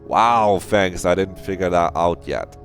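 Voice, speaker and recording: A man's voice: low-pitched, unhurried, faint music behind. A man voicing a blunt, irritable, sarcastic young woman in a visual novel, snappy and scornful. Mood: annoyed, sarcastic.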